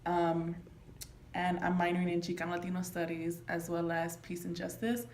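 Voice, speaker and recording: A woman's voice: on the low side, little pitch movement, moderate pace. Young woman in an echoey room. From Florida. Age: young adult. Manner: reflective, frequent ums. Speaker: student interviewee. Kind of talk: casual interview answers. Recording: echoey room with faint noise.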